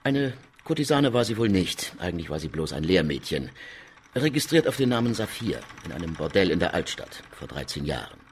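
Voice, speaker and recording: An actor playing a formal, precise, deferential city official in a radio drama. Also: male voice, low-pitched, sing-song, fairly quick, slight background noise. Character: wiry small official, formal, dutiful, matter-of-fact investigator.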